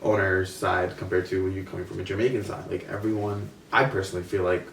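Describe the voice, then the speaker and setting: A man's voice: low-pitched, little pitch movement, fairly quick, fairly bright tone. A young man from India in a casual group discussion, rambling with many fillers. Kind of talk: casual chat. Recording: roomy, from a distance, faint noise.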